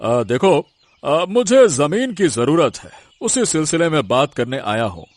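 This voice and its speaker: A man's voice: a little high, big pitch swings, a little slow, dry recording. A man voicing a proud, domineering middle-aged landowner in a cartoon village story, pompous and easily offended. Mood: pushy.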